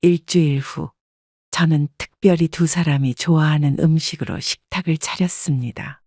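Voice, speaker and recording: A woman calmly narrating a family story in the first person as a scripted audiobook. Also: low voice, normal speed, dry recording, clean studio sound. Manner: calm, even storytelling.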